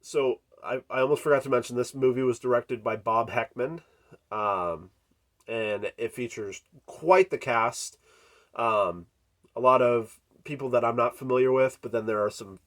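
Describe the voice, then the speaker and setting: A man's voice: mid-range voice, measured pace, a bit bright. A congested man hosting a horror channel, giving an unscripted movie review with many fillers and restarts. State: congested from a cold.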